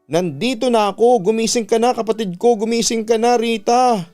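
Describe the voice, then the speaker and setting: A man's voice: very high-pitched, normal speed, a little mellow, soft background music, voice pushed up. A man voicing a young woman begging tearfully as a desperate, guilt-ridden older sister in a radio story. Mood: tearful pleading.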